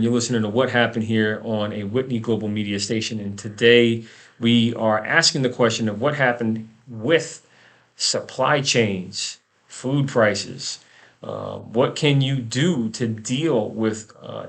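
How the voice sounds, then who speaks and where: A man's voice: mid-range voice, gravelly, fairly bright tone. A man hosting a conversational radio interview show, repeating words and phrases for emphasis. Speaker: radio talk show host.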